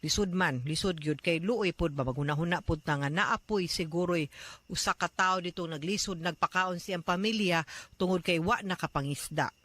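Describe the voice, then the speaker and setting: A man's voice: sits high, moderate pace, pushed and bright. A man, hosting an advice show and answering a listener's letter in a chatty way, often tagging questions onto his sentences. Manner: chatty, mixing in English, frequent tag questions. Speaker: radio advice host.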